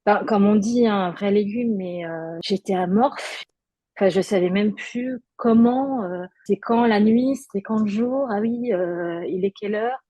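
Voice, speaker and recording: A woman's voice: mid-range voice, a little slow, dark tone, dry recording. A woman guest giving a personal testimony in conversation, hesitant, with many fillers and restarts.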